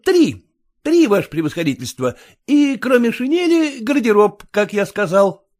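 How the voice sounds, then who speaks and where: A man's voice: sits high, very animated, moderate pace, a little mellow, pitched above the performer's own voice. A man voicing a stubborn, fawning petty clerk who haggles and whines over money. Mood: wheedling haggling. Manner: haggling, whining, obsequious.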